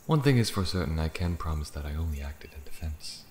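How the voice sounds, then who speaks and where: A man voicing an ancient, proud and amused dragon in elven-looking mortal form, in a slow, deliberate fantasy ASMR roleplay. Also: a bass voice, sing-song, darkish tone, slight background noise. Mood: calm, wry. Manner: slow, deliberate, theatrical, breathy near-whispers at times. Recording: roomy echo, faint nature ambience with crickets.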